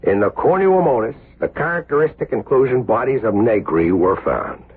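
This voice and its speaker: A man's voice: medium pitch, expressive, normal speed, a little hiss or hum, lightly acted. An actor playing an intense, earnest male surgeon with emphatic delivery in an American 1970s radio drama. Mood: stern, accusing. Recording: muffled, lo-fi old radio broadcast.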